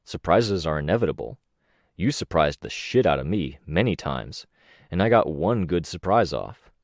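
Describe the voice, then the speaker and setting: A man's voice: low-pitched, big pitch swings, moderate pace, dry recording, clean studio sound. A man voicing an easygoing, reasonable young man in an audiobook. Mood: reasoning.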